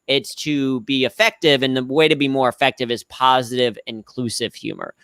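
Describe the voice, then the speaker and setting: A man's voice: medium pitch, fairly even intonation, moderate pace, sharp tone, smooth, no room sound, clean studio sound. A young adult man with a slightly nasal voice, a guest explaining humor at work in an upbeat, self-deprecating way. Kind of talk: conversational interview answers.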